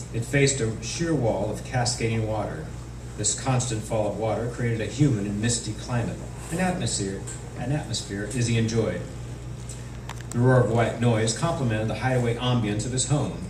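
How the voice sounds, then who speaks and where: A man, an author, reading narrative prose aloud from his novel at a live indoor event. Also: fairly low pitch. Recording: echoey noisy room, distant microphone.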